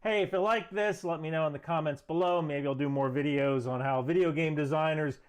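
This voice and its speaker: A man's voice: a little high, fairly quick, clean tone. A man presenting a scripted explainer commentary with emphatic, structured delivery. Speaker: video presenter.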